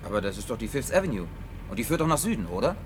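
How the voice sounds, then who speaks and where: An actor playing an awkward, grumbling drifter in a German science-fiction radio play, speaking colloquially, hesitant and gruffly excitable. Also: male voice, mid-range voice, racing, far from the mic, slight background noise, lightly acted. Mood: awkward, subdued. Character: awkward, grumbling male drifter, last man on earth.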